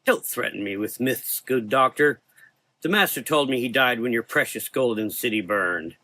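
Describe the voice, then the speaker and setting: A man's voice: mid-range voice, expressive, moderate pace. A man voicing a fanatical villain doctor in a gothic horror audio drama, sneering, taunting and volatile. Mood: contemptuous. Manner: taunting, volatile, shouting in anger.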